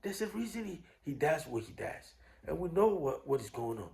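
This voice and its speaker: Man, Ghanaian accent, slightly high pitch, gravelly creak, combative online commentary, repetitive and halting. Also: big pitch swings, a little mellow. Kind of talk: unscripted opinion rant to camera.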